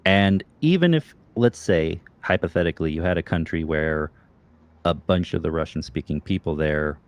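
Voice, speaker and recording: Man, very low pitch, interview host, long rambling explanations. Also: normal speed. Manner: long explanatory answers with frequent fillers. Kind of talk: casual video-call interview.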